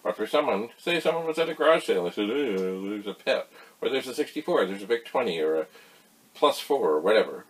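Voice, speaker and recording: An older man talking casually to camera as a video host, explaining in a folksy, rambling way with emphatic pauses. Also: on the low side, lively intonation, poor audio. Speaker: vintage computer video host. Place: small cluttered indoor computer room.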